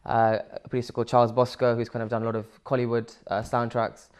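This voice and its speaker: Fast-talking, smooth-voiced young man. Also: mid-range voice, monotone, a little mellow. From Sri Lanka. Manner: fluent with many fillers and restarts. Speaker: musician guest. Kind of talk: casual interview answers.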